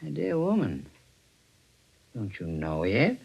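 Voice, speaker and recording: Stern district attorney, dramatically inflected. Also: male voice, medium pitch, huge pitch swings, gravelly, darkish tone, slightly rough, exaggerated voice. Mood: grave revelation. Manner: measured, accusing, deliberate. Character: stern, shrewd male district attorney confronting a killer. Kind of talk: 1940s radio acting.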